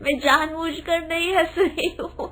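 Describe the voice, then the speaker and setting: A woman's voice: very high-pitched, steady tone, measured pace, fairly bright tone, pitched above the performer's own voice. A woman voicing a polite young daughter-in-law who keeps laughing as she speaks. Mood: giggling apologetic. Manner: giggly, polite, breathy with laughter.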